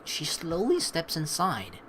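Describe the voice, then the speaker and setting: A woman's voice: sits low, huge pitch swings, takes it slowly, a little hiss or hum. A woman let's player reading game text aloud expressively, mixed with casual commentary. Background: game music.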